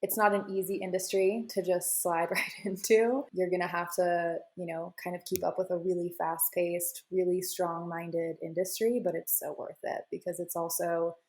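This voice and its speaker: A woman's voice: fairly low pitch, level tone, normal speed, hardly any pauses, a little mellow, low-quality recording. A woman answering interview questions in a casual, confident way, full of "you know" fillers. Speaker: guest, crypto media founder.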